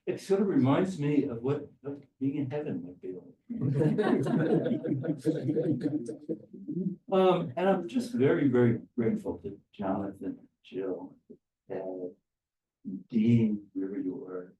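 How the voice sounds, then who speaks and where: An older man giving informal, rambling remarks to a gathering, often halting and restarting his phrases. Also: medium pitch, dark tone. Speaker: academic philosopher and speaker at a conference. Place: echoey room at a conference. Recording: roomy, distant microphone, faint background noise.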